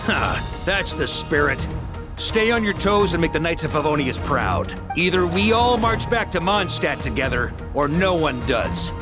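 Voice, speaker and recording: An actor playing a bold veteran knight commander who speaks in a hearty, rousing way. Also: male voice, a little high, very animated, unhurried, over music. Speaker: grandmaster of the knights. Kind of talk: game cutscene voice acting.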